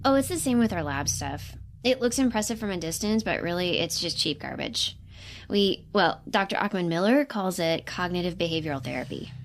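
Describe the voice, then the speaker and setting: A woman's voice: on the low side, lively intonation, fairly quick, a little hiss or hum. A woman playing a nervous but eager lab scientist in a science fiction audio drama, chatty and a little hesitant. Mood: wry, helpful.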